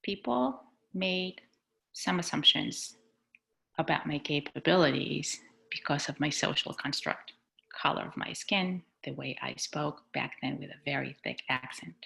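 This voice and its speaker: A woman from Chile speaks in a low, gravelly voice with a bright, forceful edge. She is a school superintendent, talking earnestly and reflectively about her own life over a video call. Her delivery is measured. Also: poor audio. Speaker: executive superintendent. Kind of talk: personal speech at a community board meeting.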